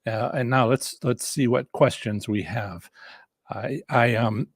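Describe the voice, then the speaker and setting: Guy hosting a casual how-to livestream, rough husky tired voice, rambly and halting, dry sound. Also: mid-range voice, no echo. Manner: halting, rambling, repeats and restarts phrases. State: voice worn from a lot of talking. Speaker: host and instructor of a live stream on slots strategy. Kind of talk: casual live-stream teaching and audience Q&A.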